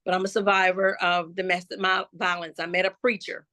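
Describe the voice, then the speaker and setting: A woman from Florida shares her personal testimony as an interview guest. Her voice is bright and forward, and she speaks slowly and thoughtfully, often pausing and restarting. The sound is close and dry. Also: fairly low pitch, little pitch movement, no room sound. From Jacksonville, Florida. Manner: slow, reflective, with many restarts and fillers. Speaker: gospel hip-hop artist guest.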